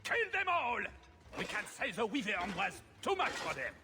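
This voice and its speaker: An actor voicing a haughty, petulant, outraged baron in a video game, sputtering and shouting insults. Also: male voice, high-pitched, huge pitch swings, normal speed, very noisy, full character voice.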